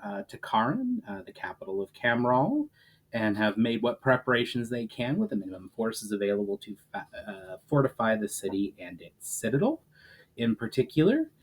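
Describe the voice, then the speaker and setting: A man's voice: fairly low pitch, sing-song, moderate pace, poor audio. A man who hosts a story session as an author, presenting and reading his own fantasy writing aloud. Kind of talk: informal introduction, then dramatic story narration.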